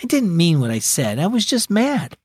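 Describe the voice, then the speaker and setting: A man voicing an upset schoolgirl who shouts accusations and then sulks, reading a children's storybook aloud. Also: sits high, wildly swooping pitch, moderate pace, husky, no room sound, full character voice. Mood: sulky. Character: upset schoolgirl, quick to anger.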